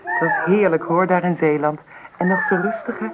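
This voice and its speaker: A woman's voice: fairly low pitch, huge pitch swings, moderate pace, loud music behind the voice. An actress playing a fond but exasperated young mother in a radio play, chatty and mildly scolding. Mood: chatty, content. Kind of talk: Dutch radio play acting. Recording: tinny, slightly noisy old radio recording.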